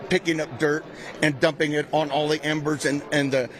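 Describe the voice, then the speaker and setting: A man's voice: fairly high pitch, little pitch movement, brisk, a bit bright. A man giving a spontaneous news interview as a local resident. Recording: clearly audible crowd chatter behind.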